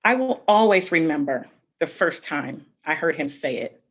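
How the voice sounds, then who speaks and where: A woman reading a picture book aloud to children, explaining things simply with asides and questions in a slightly husky voice. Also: sits low, normal speed. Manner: expressive, explaining simply and addressing young listeners with questions. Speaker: read-aloud host for children.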